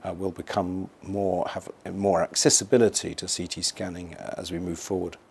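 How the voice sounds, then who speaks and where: Man, very low voice, husky and rough, measured, medical expert explaining. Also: little pitch movement. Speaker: hospital specialist doctor. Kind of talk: explanatory interview answers for an educational film.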